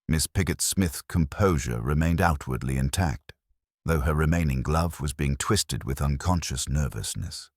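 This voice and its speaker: A man reading scripted mystery fiction as an audiobook narrator, with a measured, literary delivery. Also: a bass voice, no echo, clean studio sound.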